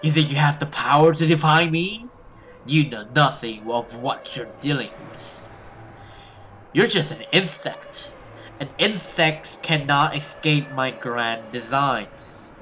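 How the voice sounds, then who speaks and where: A man's voice: medium pitch, sharp tone, faint music behind. A man voicing a venomous, gloating female demon from a horror game, with menacing, contemptuous delivery. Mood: contemptuous menace. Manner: menacing, contemptuous, slow deliberate threats. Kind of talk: horror visual novel read-aloud.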